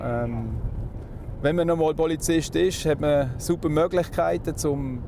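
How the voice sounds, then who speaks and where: A man's voice: a little high, measured pace. A man with a Swiss German accent, a motorway police officer explaining his job casually in a moving car. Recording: clear vehicle road noise.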